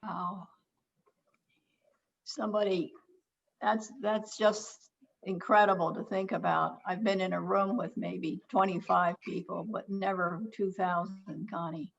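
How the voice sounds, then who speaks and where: A woman's voice: lowish voice, steady tone, unhurried, gravelly. A woman leading a group discussion on a video call, friendly and sometimes searching for words. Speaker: discussion leader. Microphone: computer mic on a video call. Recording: dull lo-fi video call audio.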